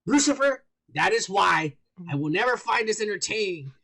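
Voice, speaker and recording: Raspy, lively man on a podcast. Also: high voice, moderate pace. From United States. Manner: crude, rambling, profane. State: high and drinking. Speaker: podcast co-host. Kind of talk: casual chat.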